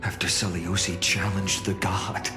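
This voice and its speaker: Brooding male game warrior: deep, formal, deliberate, strong echo. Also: flat delivery. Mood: brooding, questioning. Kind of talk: dramatic fantasy game voice acting. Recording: echoey hall with music behind.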